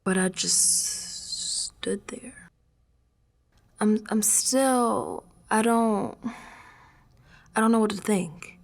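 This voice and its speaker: An actress playing a troubled, defiant young American woman who is unravelling, confiding her story in a dramatic radio monologue that swings from hushed to emphatic. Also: medium pitch, very slow, gravelly, pauses often. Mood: bewildered, distraught. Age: young adult.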